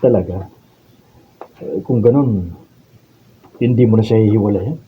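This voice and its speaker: An actor playing an ardent, defiant lover in a melodramatic Filipino radio drama, earnest and pleading. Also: male voice, lowish voice, a little slow, narrow, tinny audio, close to an everyday voice. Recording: faint background noise.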